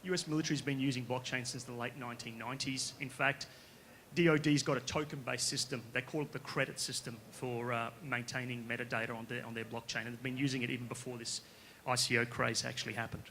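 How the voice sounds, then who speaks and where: A man from Western Australia with an Australian accent, giving a conference pitch as a company founder, casual and conversational, often checking in with the audience. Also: steady tone, quick pace, sharp tone, slight background noise. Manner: casual, conversational, with frequent tag questions. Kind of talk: conference presentation. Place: conference stage.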